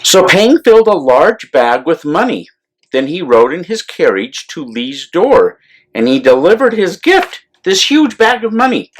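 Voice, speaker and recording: A man reading a picture book aloud to children as a storyteller, deliberate and expressive, with explanatory asides. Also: a little high, slight background noise, poor audio. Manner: deliberate, animated, explanatory asides to young listeners.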